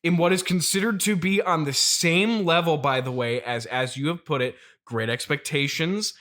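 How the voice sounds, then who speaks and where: A man's voice: on the high side, moderate pace, fairly bright tone. An American man hosting an improvised comedy interview, wryly repeating things back with mock seriousness. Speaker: podcast interviewer.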